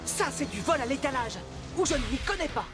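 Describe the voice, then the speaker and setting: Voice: high-pitched, big pitch swings, fairly quick. A performer voicing a cocky young male hedgehog hero in a French-dubbed cartoon, breezy and self-assured. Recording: loud music under the voice.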